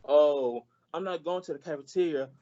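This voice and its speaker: An eager, folksy teenage boy played by a man in a radio comedy, earnest and polite. The voice is soft-edged and mellow, on a slightly muffled recording. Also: a little high, moderate pace, natural voice. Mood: cheerful, earnest. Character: eager, naive, good-natured teenage schoolboy. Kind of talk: old-time radio comedy acting.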